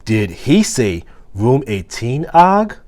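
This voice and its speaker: A man voicing a small, cheerful classroom hamster in a storybook, eager and excitable. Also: mid-range voice, slow pace, voice pushed up. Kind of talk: storybook character dialogue.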